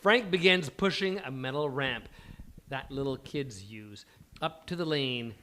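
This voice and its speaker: A man's voice: medium pitch, measured pace, sharp tone, a little hiss or hum. A man reading scripted stage directions as narrator of a live comedy table read.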